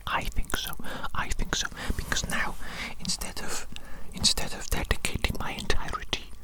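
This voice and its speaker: A woman performing an ASMR roleplay as a grandiose, scheming evil scientist, whispering theatrically and repeating her phrases. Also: moderate pace. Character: grandiose evil mad scientist doctor, scheming and self-important.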